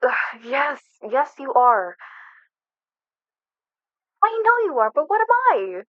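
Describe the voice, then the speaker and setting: A woman's voice: sits high, sing-song, slow, no echo, highs cut off, exaggerated voice. A young woman acting in an ASMR roleplay as a flustered best friend hiding a crush, speaking casually with hesitant stammers. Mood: playful teasing. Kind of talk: ASMR roleplay, one-sided conversational acting.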